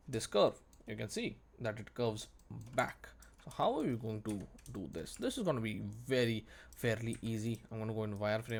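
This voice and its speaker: A man's voice: medium pitch, gravelly. A man giving an unscripted tutorial as an instructor, explaining conversationally and repeating words for emphasis. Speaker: 3D modelling instructor, video host. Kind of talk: unscripted software tutorial narration.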